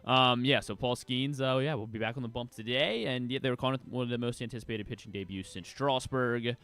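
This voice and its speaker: A man giving a casual sports news recap as co-host, halting and often correcting himself. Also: mid-range voice, fairly bright tone, smooth. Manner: hesitant, halting, self-correcting. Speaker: sports show co-host reading the week's news.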